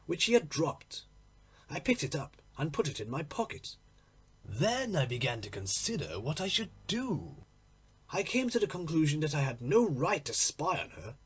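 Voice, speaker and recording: A man reading a literary story aloud in an expressive, theatrical manner. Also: on the high side, breathy edge. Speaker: audiobook reader. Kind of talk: dramatic literary story narration.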